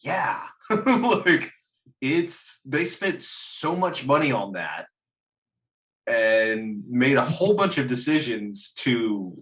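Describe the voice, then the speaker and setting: A man's voice: mid-range voice, slow pace. A man in a casual chat, rambling and swearing freely, often laughing as he talks. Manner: animated, rambling, profane, laughs while talking. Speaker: podcast panelist discussing films and games.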